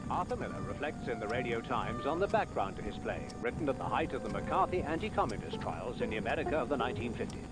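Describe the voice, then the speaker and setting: Animated male TV trailer announcer over loud music. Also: mid-range voice, fast, few pauses, rough recording. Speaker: television continuity announcer. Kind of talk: broadcast programme trailer voice-over.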